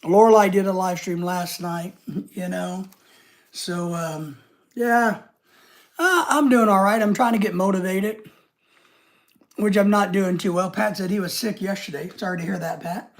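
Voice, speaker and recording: A man's voice: high-pitched, unhurried. A man hosting a casual live stream with a head cold, rambling and reading out viewer comments.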